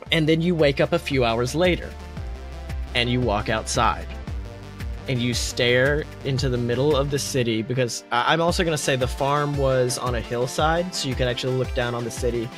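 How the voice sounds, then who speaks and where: A man's voice: mid-range voice, a bit bright. A man hosting a podcast, telling improvised fantasy stories as game master and chatting. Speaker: podcast host. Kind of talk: improvised second-person fantasy storytelling and podcast chat.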